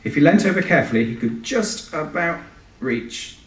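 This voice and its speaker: A man's voice: a little high, animated, normal speed, fairly bright tone. A man, an author giving a live reading from his novel. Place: live event in a large venue. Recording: echoey hall, distant microphone.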